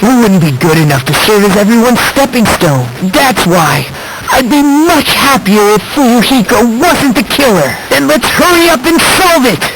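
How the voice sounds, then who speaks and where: High pitched anime game teen boy, polite but creepy hope fanatic, very swingy delivery, noisy audio. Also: big pitch swings, moderate pace, full character voice. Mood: calm, musing. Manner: polite, musing, theatrical. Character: soft-spoken teenage boy, politely unsettling, fervently hopeful. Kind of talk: anime video game voice acting.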